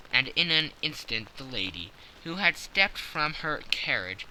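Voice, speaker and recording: A man narrating a classic story as an audiobook, measured and expressive. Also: mid-range voice, a little hiss or hum, low-quality recording.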